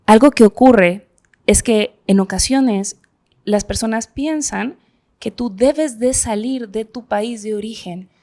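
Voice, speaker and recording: Woman from guadalajara, mexican accent, mellow warm voice, earnest. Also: on the high side, takes it slowly. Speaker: interpreter panelist.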